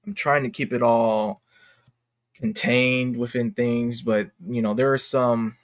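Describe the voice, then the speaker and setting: A man giving unscripted commentary as a YouTuber, talking in a halting, slangy, exclamatory way and often interrupting himself. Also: mid-range voice, steady tone. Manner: rambling, halting, self-interrupting. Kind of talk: unscripted casual commentary rant.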